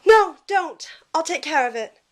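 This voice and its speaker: Casting: actress as spirited protective girl, high voice, audio drama, heavy reverb on the track. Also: lively intonation, measured pace. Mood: urgent. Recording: echoey room with long reverb.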